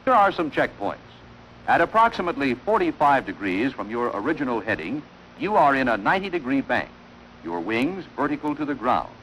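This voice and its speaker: Male narrator, medium pitch, lively intonation, formal and instructive, measured wartime training film delivery, faint noise on old film soundtrack. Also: low-quality recording.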